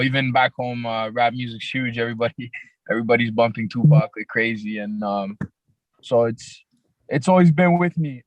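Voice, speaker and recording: A raspy young man with a Toronto accent, a rapper guest talking in a laid-back, halting way with many fillers. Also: mid-range voice, no echo, poor audio. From Canada, grew up in Toronto. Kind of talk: casual podcast interview.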